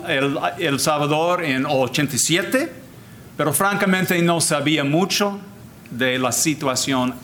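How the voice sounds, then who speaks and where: An older man, a Jesuit priest whose first language is English, giving a deliberate, formal talk with frequent restarts. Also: fairly high pitch, fairly bright tone, a little hiss or hum. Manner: deliberate, repeats and restarts words, switches to English phrases. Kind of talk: slow formal lecture.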